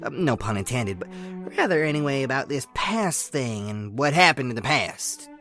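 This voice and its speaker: A man's voice: medium pitch, lively intonation, moderate pace. A man voicing a folksy, flippant bystander in a comic dub, with a brash and informal manner. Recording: voice over loud background music.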